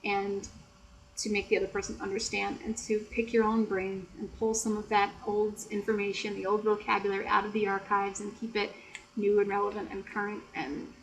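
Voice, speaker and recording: An American woman chatting softly and explaining things in a casual, teacherly way, with frequent "you know". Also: medium pitch, monotone, brisk, slight background noise, poor audio. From United States. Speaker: ASMR creator and English tutor. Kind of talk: soft-spoken ASMR chat.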